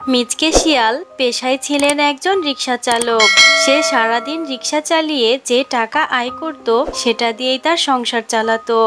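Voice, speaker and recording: Female narrator for cartoon fairy tales, high voice, music underneath. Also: moderate pace. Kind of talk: scripted cartoon story narration.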